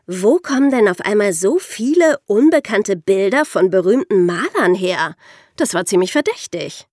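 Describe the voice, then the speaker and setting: A woman's voice: mid-range voice, no room sound. Woman podcast host explaining stuff story style, asks rhetorical questions, really dry sound.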